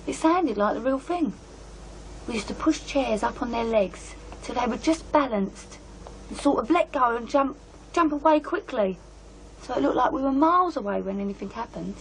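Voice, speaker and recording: A woman's voice: on the high side, expressive, moderate pace, vocal fry, low-quality recording. An actress playing a guarded, matter-of-fact young working-class woman with a British accent and blunt colloquial speech in an audio drama. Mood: confiding. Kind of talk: radio drama dialogue.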